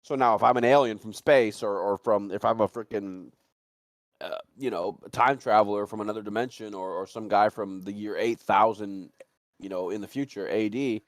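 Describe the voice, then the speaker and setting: Low-voiced man, rambling and casual. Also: moderate pace, dry recording. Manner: rambling, repeats himself, casual swearing. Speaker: livestream talk participant. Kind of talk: casual chat, animated storytelling and speculation.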